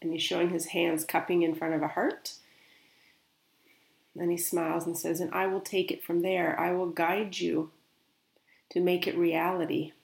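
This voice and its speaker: A woman speaking as a spiritual medium, gently and unhurriedly relaying another's words in a guided meditation. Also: fairly low pitch, flat delivery, moderate pace, gravelly, long pauses, echoey room.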